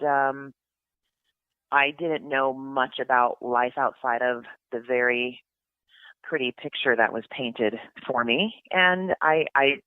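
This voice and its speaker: A woman's voice: a bass voice, no room sound. Middle-aged woman, interview guest, chatty, lots of ums and restarts, narrow phone-like sound, dry. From California. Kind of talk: casual interview talk.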